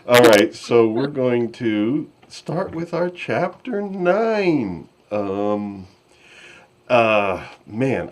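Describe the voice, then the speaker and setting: A man hosting a casual live chat, recorded on a crackly webcam microphone. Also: fairly low pitch. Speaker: host of a fan podcast livestream. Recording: distorted, crackly webcam mic.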